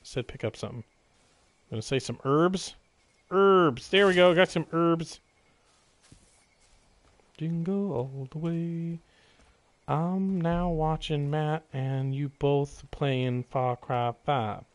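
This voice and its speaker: A man's voice: on the high side, slow pace, pauses often, dry recording. A man doing casual live gameplay commentary, exclaiming, swearing and muttering jokey remarks to himself. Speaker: video game live streamer.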